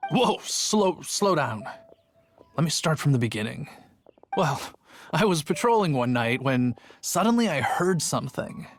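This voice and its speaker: An actor playing a chatty, sheepish city guard in a video game who tells a long story and gets defensive. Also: male voice, on the high side, big pitch swings, measured pace, soft background music.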